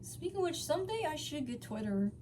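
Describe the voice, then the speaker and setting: A woman's voice: high-pitched, very animated, quick pace, a little mellow, a little hiss or hum. A woman hosting a dramatic fan fiction reading, theatrical but casual, sometimes stumbling over words. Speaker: story reader, channel host.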